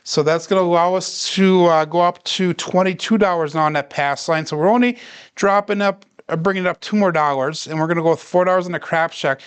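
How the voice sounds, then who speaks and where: A man calmly narrating his own craps dice practice on a livestream, walking viewers through each bet and roll. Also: high voice, a little fast, clean tone. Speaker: craps practice live streamer. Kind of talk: casual play-by-play livestream narration.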